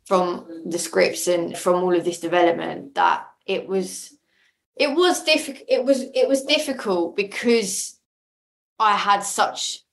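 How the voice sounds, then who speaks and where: A woman's voice: mid-range voice, a little slow, vocal fry. A woman talking casually and effusively as an interview guest, telling stories and repeating phrases for emphasis. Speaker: audio drama creator and casting lead, interview guest. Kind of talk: casual conversational storytelling in an interview.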